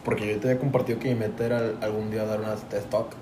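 A man's voice: on the low side, flat delivery, racing. A young man from Tampico with a Mexican Spanish accent, co-hosting a podcast in casual, profane chat full of slang. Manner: slangy, profane, says "güey" constantly. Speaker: podcast co-host. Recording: echoey room with clear background noise.

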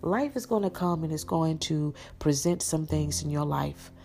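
A woman with a raspy voice, preaching an encouraging sermon and praying with an earnest, repetitive cadence. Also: low-pitched, normal speed, mellow. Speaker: podcast minister. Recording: music playing behind the voice.

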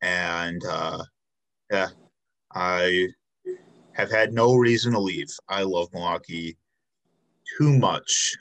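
A man's voice: sits low, slow, long pauses, a bit bright, poor audio. A man in his twenties hosting a casual interview, laid-back and loose, with lots of fillers and casual swearing. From Chicago south suburbs, USA. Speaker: podcast host.

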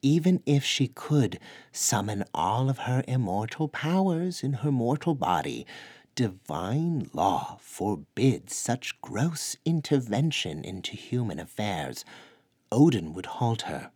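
A man's voice: sits low, sing-song, takes it slowly, a little mellow, slightly rough, no echo, studio quality, exaggerated voice. A man voicing a tall Sasquatch elder who speaks bluntly and definitively.

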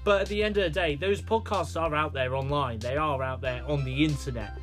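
A man's voice: on the high side, brisk, fairly bright tone, faint music behind. A British man hosting a solo podcast, rambling with many fillers and restarts. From United Kingdom. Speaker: podcast host.

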